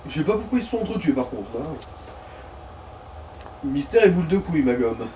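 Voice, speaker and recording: A man's voice: fairly high pitch, sing-song, a little fast, dark tone. A man chatting casually over a video game as a let's player, with crude, slangy joking. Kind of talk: casual gaming chat. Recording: distant mic with clear noise.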